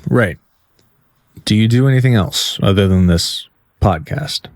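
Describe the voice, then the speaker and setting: Deep-voiced, stern police interrogator. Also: male voice, measured pace, dry recording. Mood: businesslike. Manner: firm, terse, no-nonsense. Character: stern, weary male police officer interrogating a suspect. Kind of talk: audio drama acting.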